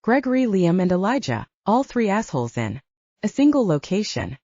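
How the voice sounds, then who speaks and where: A woman's voice: mid-range voice, big pitch swings, normal speed, mellow, no echo, muffled recording. A woman reading scripted fiction as an audiobook narrator.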